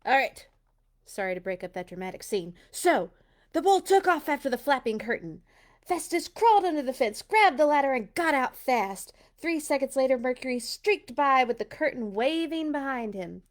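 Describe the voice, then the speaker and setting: A woman reading a children's storybook aloud, dramatic and expressive, with little personal asides. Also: high voice, moderate pace. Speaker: storytime reader.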